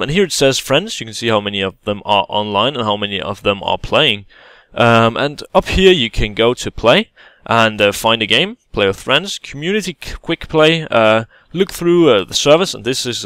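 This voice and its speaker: A man talks through a game tutorial in a relaxed, halting way, often pausing and restarting. His voice is smooth and clear. The recording is dry and close, with no room sound. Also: medium pitch, no room sound. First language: Danish. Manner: hesitant, many fillers and restarts, casual explaining. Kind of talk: gameplay tutorial voice-over.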